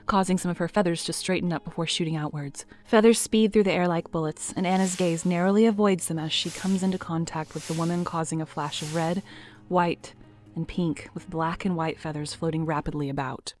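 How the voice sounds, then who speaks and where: A woman narrating an audio drama in a measured, dramatic storytelling manner. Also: fairly low pitch, fairly even intonation, darkish tone, faint music behind.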